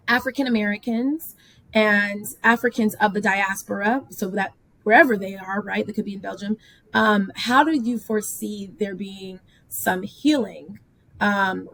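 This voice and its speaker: A woman's voice: mid-range voice, fairly bright tone, low-quality recording. A young woman hosting a podcast, asking thoughtful, reflective questions in a casual conversational way. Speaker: podcast host.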